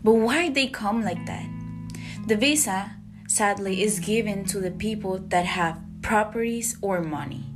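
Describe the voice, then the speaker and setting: Slow, earnest young woman from Costa Rica. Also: medium pitch. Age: young adult. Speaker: student podcaster. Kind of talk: scripted reading. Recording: guitar music underneath.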